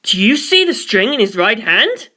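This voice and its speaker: A reader as a tense, bright-voiced mission control man. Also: mid-range voice, big pitch swings, moderate pace. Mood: alarmed. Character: tense male mission control officer over speakers.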